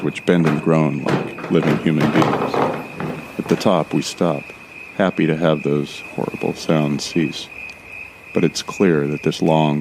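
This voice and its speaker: A man's voice: very deep voice, vocal fry, a little hiss or hum, heavily acted. A man playing an uneasy but determined, wry explorer, narrating a first-person horror audio drama in a confiding, colloquial way. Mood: tense, ominous. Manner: descriptive, confiding, colloquial with swearing.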